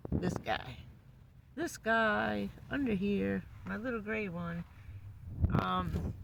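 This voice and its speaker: A hoarse woman who says she is losing her voice, giving a loose, chatty van-life update full of fillers. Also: lowish voice, fairly bright tone, slight background noise, low-quality recording. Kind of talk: casual rambling live update. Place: living in a van.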